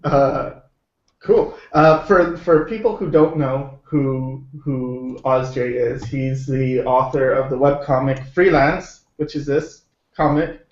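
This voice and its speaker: A man hosting a casual live stream chat, talking haltingly and joking with friends. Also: mid-range voice, steady tone. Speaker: stream host. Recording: roomy, slightly dull stream audio.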